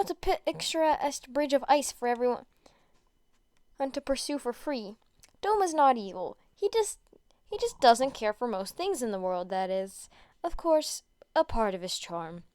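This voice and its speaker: A woman reading fan fiction aloud in an amateur, expressive way, often stumbling and going back over phrases. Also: high voice, normal speed, no echo. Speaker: podfic reader. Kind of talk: casual introduction and expressive amateur story reading.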